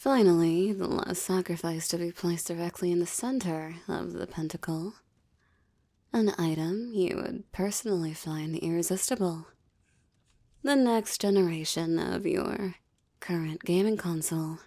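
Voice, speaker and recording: Seductive demoness for audio roleplay, acted by a woman: lowish, creaky, ornate diction, teasing and menacing, dry intimate sound. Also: mellow, dry recording. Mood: smug, proud. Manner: slow, breathy, formal and ornate diction, teasing. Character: seductive, menacing adult female succubus demon, teasing and possessive. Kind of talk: intimate audio roleplay, ASMR-like monologue addressed to listener.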